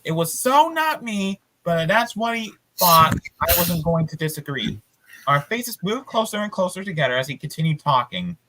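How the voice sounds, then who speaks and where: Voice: mid-range voice, very animated, moderate pace, a bit bright, a little hiss or hum, rough recording. A person reading a story aloud as host, dramatically, with casual asides.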